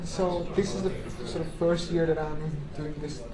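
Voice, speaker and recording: A man's voice: on the high side, steady tone, moderate pace. A young man, a university tutor, speaking hesitantly with many fillers and repeated yeahs as he chats and then explains a problem to his class. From Hungary. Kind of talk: casual chat, then tutorial teaching. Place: echoey classroom. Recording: roomy classroom with crowd chatter.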